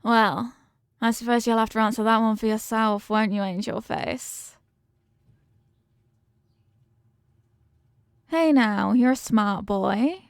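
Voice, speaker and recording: A woman's voice: fairly high pitch, a little slow, clean tone, no echo, clean studio sound. A woman playing a confident, affectionate mafia boss in an intimate roleplay, teasing and streetwise, with slangy talk and pet names. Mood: playful. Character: confident, doting female mafia boss, tough but affectionate. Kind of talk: intimate ASMR-style roleplay acting.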